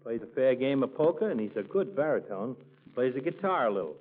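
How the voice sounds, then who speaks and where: A man's voice: mid-range voice, very animated, fairly quick. A man playing a stubborn, wisecracking small-town working man with an American accent, slangy and argumentative, in 1940s radio comedy. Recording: tinny 1940s radio recording.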